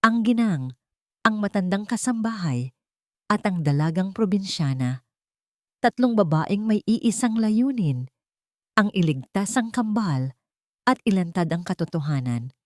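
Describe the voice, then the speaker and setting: A woman's voice: mid-range voice, lively intonation, a little slow, pauses often, dry recording, studio quality. A woman narrating a scripted dramatic story in an earnest, suspenseful storytelling manner. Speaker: audiobook narrator.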